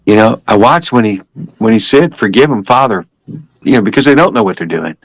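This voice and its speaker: A man's voice: on the low side, animated, brisk, vocal fry, dry recording, low-quality recording. An older man giving a personal religious testimony as a guest, telling it in an emphatic, repetitive, conversational way.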